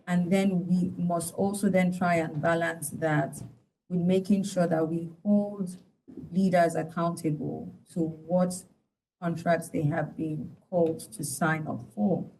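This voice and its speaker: A Nigerian woman giving explanatory interview commentary as an analyst, deliberate and pausing mid-sentence. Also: on the low side, a little mellow, slight background noise, poor audio. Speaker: studio guest analyst.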